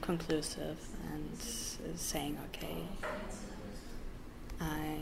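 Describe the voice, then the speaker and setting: A woman with a German accent, German being her first language, talking haltingly as an interview guest, with many pauses and restarts. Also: low-pitched, monotone, vocal fry, background noise. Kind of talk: personal interview.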